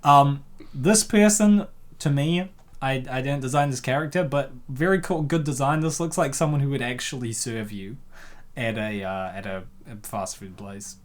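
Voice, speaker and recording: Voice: mid-range voice, normal speed. A co-host narrating a comic dub and reacting casually, with an ironic, teasing manner.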